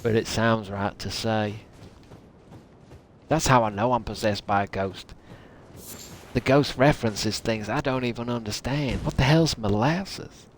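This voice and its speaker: Male game streamer, mid-pitched, unhurried, hamming up a folksy Southern US drawl with joking asides. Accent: put-on thick Southern US, Texan drawl. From United Kingdom. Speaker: game livestreamer. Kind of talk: playful rambling game stream commentary. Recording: noisy, game battle sounds behind.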